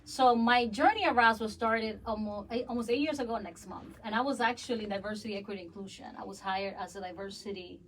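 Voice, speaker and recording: A woman's voice: mid-range voice, fast, hardly any pauses, recorded from a distance, a little hiss or hum, rough recording. A woman from the Dominican Republic, a guest in a radio studio, talking in a friendly way about her outreach work. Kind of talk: casual radio chat.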